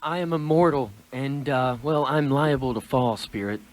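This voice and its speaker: A man playing a shaken miser confronted with his past in a radio play, halting and theatrical in delivery. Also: medium pitch, close to an everyday voice. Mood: nervous, hesitant.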